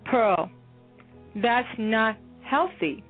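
A woman playing a slow, measured school counselor. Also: fairly high pitch, expressive, soft background music, heavily acted. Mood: concerned. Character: calm adult female school counselor. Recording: tinny phone-line sound.

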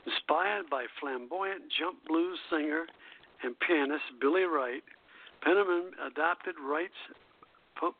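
A man with a fairly high voice reads a scripted music history as a radio host. His delivery is halting, with stumbles and self-corrections. The sound is thin and narrow, like an internet radio show taken over a phone line.

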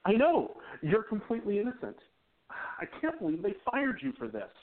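A man's voice: high voice, wildly swooping pitch, moderate pace. A man playing a devoted young newspaperman in a 1920s radio romance, speaking in an emphatic, romantic way. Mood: protective. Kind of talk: radio play acting. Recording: tinny phone-like line.

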